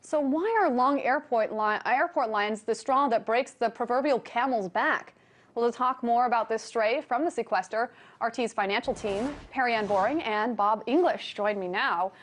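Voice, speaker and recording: A woman's voice: on the high side, normal speed. A woman anchoring a television news discussion, putting questions to guests in a conversational broadcast style.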